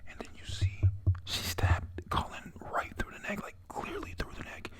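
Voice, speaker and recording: A breathy, whispered voice reading a comic chapter aloud and recapping it, halting over lines and adding casual, sweary, excitable asides. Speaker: narrator recapping a manga story.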